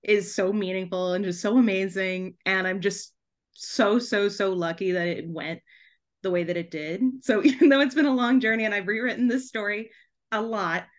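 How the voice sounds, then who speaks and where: A woman's voice: medium pitch, moderate pace. A woman chatting casually and effusively as an interview guest on a podcast, in a giggly, informal way. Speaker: guest author and podcast host.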